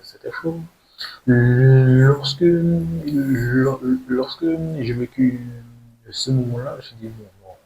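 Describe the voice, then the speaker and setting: A young man from talks through his thoughts in an unscripted monologue. His delivery is halting and earnest, with frequent repeated words and emphatic bursts. The pitch is mid-range for a man, and a light hiss runs through the amateur recording. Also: mellow, low-quality recording. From French Guiana. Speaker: video creator telling his own story.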